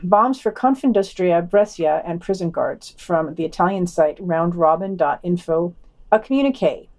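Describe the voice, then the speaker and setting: A woman's voice: fairly low pitch, animated, normal speed, darkish tone. A woman reading scripted news items and long quotations for a podcast, dropping in wry asides.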